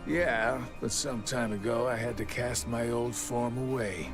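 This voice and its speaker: A man's voice: mid-range voice, measured pace, music in the background, close to an everyday voice. An actor playing a sly, nonchalant, world-weary man with a hidden past in a video game cutscene. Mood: reflective.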